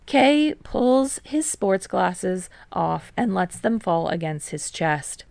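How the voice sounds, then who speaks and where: A woman's voice: medium pitch, sing-song, slow pace, vocal fry, a little mellow, dry recording. A woman reading a story aloud as scripted audiobook-style narration. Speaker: fan fiction reader.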